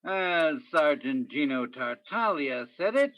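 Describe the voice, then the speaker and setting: Bright-voiced, brooding noir detective played by a man. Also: a little high, lively intonation, slow pace, low-quality recording. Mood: brooding, poetic. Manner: dramatic, poetic, clipped hardboiled phrasing. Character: world-weary male police detective narrating his own case. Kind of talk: 1950s radio noir acting.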